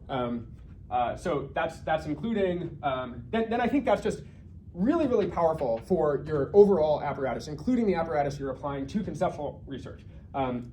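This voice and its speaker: A man's voice: on the high side, darkish tone, slight background noise. A man giving an informal technical lecture as a researcher, thinking aloud and often restarting and correcting himself.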